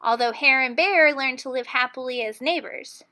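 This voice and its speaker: A woman storyteller reading a children's picture book aloud in an expressive, dramatic way. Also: high-pitched, normal speed.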